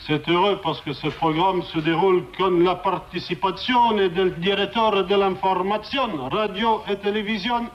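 Man, radio presenter, higher voice, playful theatrical delivery, foreign phrases, muffled recording. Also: steady tone, moderate pace, few pauses.

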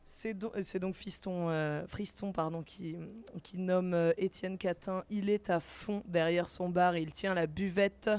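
A woman's voice: on the low side, fairly even intonation, unhurried, few pauses, a little mellow. A woman hosting a live festival radio broadcast, presenting to listeners. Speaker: radio host.

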